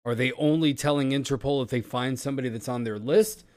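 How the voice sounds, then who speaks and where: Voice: medium pitch, fast, darkish tone. A podcast co-host in casual chat, musing aloud through a string of questions.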